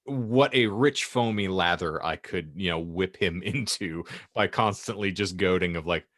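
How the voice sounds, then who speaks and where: A man's voice: deep voice, unhurried, fairly bright tone, no echo, studio quality. A man co-hosting a podcast, riffing casually with wry, wordy, playfully dramatic phrasing. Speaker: podcast co-host.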